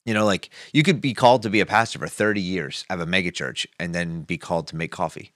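A man's voice: deep voice, fast talker, a bit bright, dry recording, clean studio sound. A man co-hosting a podcast, talking through faith ideas in a rambling, casual way with many restarts. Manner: rambling, restarts mid-thought. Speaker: podcast co-host.

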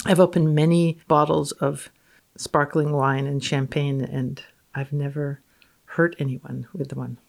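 A woman's voice: low voice, little pitch movement, a little slow, vocal fry, a little mellow. A woman guesting on a podcast as a physicist, reading aloud and commenting casually in an offhand, matter-of-fact way.

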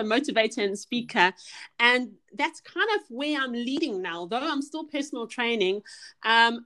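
High-voiced South African woman, chatty. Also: bright, forceful tone. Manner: chatty and earnest, with many restarts and fillers. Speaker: guest, personal trainer and motivational speaker. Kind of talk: casual podcast interview.

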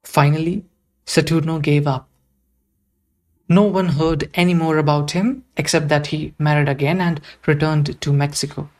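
A man's voice: fairly high pitch, fairly even intonation, moderate pace. A man narrating a scripted audio play as the storyteller.

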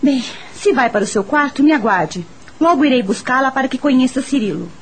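An actress with a Brazilian accent playing a helpful, matter-of-fact mansion servant, speaking in a measured, explanatory way in a radio drama. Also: female voice, sits high, lively intonation, slight background noise.

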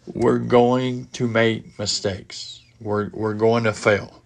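A middle-aged man with a head cold hosting a casual solo podcast from his home office, speaking haltingly with many fillers and restarts. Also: lowish voice, level tone, vocal fry. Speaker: podcast host.

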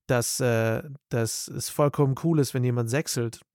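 A man's voice: mid-range voice, fairly even intonation, moderate pace, a little mellow, no echo, clean studio sound. A male voice actor and dubbing director in a casual interview, speaking animatedly and thinking aloud with plenty of fillers.

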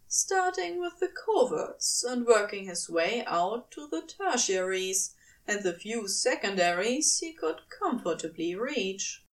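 A woman's voice: fairly high pitch, far from the mic, rough recording. A woman reading scripted fiction aloud as the narrator. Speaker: podfic narrator.

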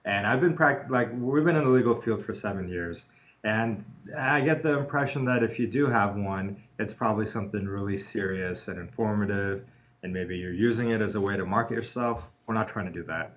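A man's voice: lowish voice, fairly quick, fairly bright tone. A man co-hosting a casual podcast about lawyering, telling stories in a joking way and laughing often. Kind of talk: casual chat.

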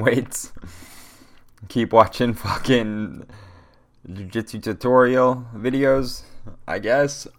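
A young man hosting a solo podcast, ranting in a rambling, profane, motivational way, stuffy-nosed from a cold. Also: on the low side. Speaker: podcast host. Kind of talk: casual solo podcast rant.

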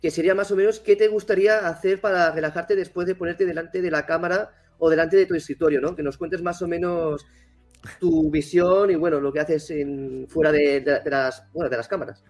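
Male co-host with a higher pitch who asks questions haltingly, with faint music underneath. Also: fairly even intonation. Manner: halting, stumbling over words. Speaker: podcast co-host. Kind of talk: casual interview questions.

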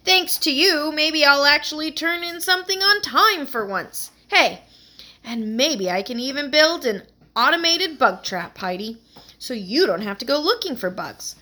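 Woman voicing an eager boy, enthusiastic, brightish and a bit high. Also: expressive, a little slow, full character voice. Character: eager, food-loving young boy.

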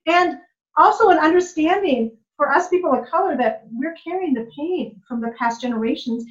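A woman's voice: sits high, expressive, normal speed, dull audio. A woman who works as a life coach and healer, talking in a passionate, repetitive way in a casual conversation. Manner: impassioned, repetitive, many "like"s.

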